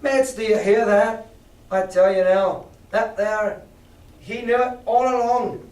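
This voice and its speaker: A man's voice: high voice, fairly even intonation, takes it slowly, a little mellow, voice pushed up. A man voicing an angry pirate who roars and screams accusations at his old captain. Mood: furious. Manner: roaring, screaming, drawn out. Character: furious rough male pirate, loud and accusing. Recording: echoey room from far off.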